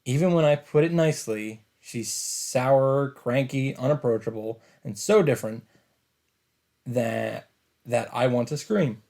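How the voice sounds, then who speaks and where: A man's voice: medium pitch, a little slow, darkish tone. A man reading visual novel narration aloud as a let's play host, with casual asides.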